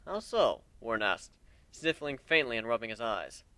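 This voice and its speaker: A man's voice: mid-range voice, animated, normal speed, sharp tone, clear, resonant tone, no echo. A man narrating a piece of fan fiction as a scripted dramatic reading.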